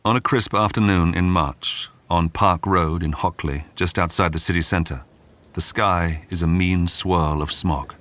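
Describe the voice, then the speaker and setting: A man reading scripted true-crime narration in a measured, dramatic way with suspenseful pauses. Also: low-pitched, fairly even intonation, a bit bright. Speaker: documentary narrator. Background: background music.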